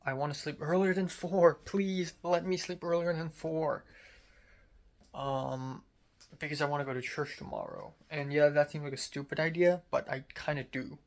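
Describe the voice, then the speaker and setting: A man's voice: a little high, animated, normal speed, creaky. Young male vlogger, American accent, grew up in Hong Kong; loose, rambling diary talk with fillers and swearing. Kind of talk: casual video diary.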